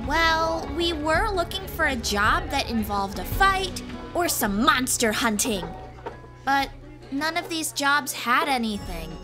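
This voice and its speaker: A small sea-dweller girl with a very high, childlike pitch. She is bubbly, innocent and eager, a fantasy audiobook character with music playing behind. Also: expressive, slow pace.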